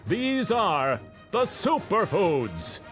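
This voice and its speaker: Music behind, guy doing bombastic cartoon hero announcer, highish voice, slow and grand. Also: wildly swooping pitch, a bit bright, full character voice. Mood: grandiose. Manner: grandiose, drawn-out. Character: bombastic male superhero-show announcer in parody ad. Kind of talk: mock-heroic cartoon commercial announcing.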